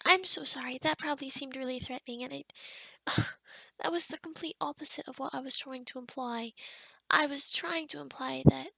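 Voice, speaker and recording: A woman playing a kind, awkward young forest witch in an ASMR roleplay, speaking softly and hesitantly, often close to a whisper. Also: high-pitched, steady tone, normal speed, gravelly, no echo. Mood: awkward, apologetic. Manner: hesitant, rambling, softly spoken with whispery stretches. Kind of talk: ASMR roleplay addressed to the listener.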